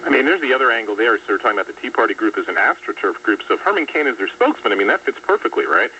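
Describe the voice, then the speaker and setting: Fast, emphatic male radio guest. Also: medium pitch, big pitch swings, pushed and bright, slightly rough. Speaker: guest commentator. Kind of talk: political talk radio discussion. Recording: distant, muffled radio rebroadcast with faint hum.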